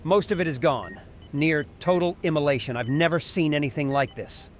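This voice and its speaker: A man's voice: on the high side, moderate pace, a little hiss or hum, lightly acted. A man playing a methodical, authoritative hospital doctor who gives clipped instructions in a horror audio drama. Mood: grave.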